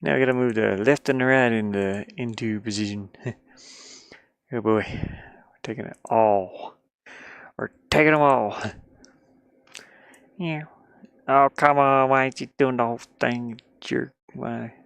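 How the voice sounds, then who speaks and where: A man with a mid-pitched, gravelly voice talks himself through game design work in a casual, tired way. He mutters and grumbles at his software. The headset sound is close and dry. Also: measured pace, long pauses, no echo. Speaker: indie game developer working on camera. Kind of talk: casual thinking-aloud screen-work stream.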